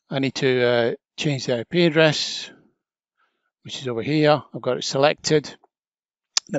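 A man's voice: medium pitch, a bit bright, dry recording. Man giving a casual tutorial, slightly dull sound. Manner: informal, chatty, explanatory. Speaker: trainer. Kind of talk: casual step-by-step software tutorial narration.

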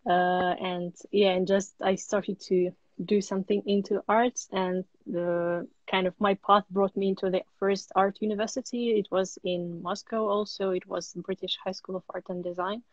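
A young woman from Russia, answering interview questions in a measured, steady way over a phone video call. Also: mid-range voice, no echo, muffled recording. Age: young adult. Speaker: guest artist. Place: indoor room at home.

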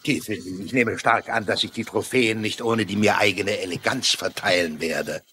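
An actor playing a vain, pompous novelist who flatters women in grand phrases, in a German-dubbed mystery drama. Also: male voice, fairly low pitch, normal speed, a little hiss or hum. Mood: self-satisfied, charming. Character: pompous, vain male novelist, smooth-talking womanizer.

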